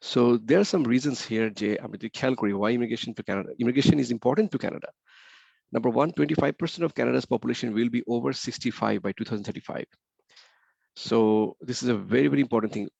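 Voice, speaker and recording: Rapid-fire gravelly man presenting. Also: mid-range voice, darkish tone, dry recording. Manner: rapid, repeats key points, asks rhetorical questions. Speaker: webinar presenter. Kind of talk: slide presentation mixing English and Bengali. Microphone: computer mic on a video call. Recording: dull, slightly muffled webinar audio.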